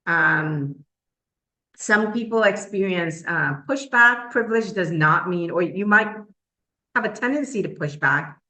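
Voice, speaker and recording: A woman's voice: on the low side, animated, a little slow, sharp tone, reverberant. A woman from Trinidad, a professor, giving an earnest webinar talk with frequent ums and uhs. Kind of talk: webinar presentation.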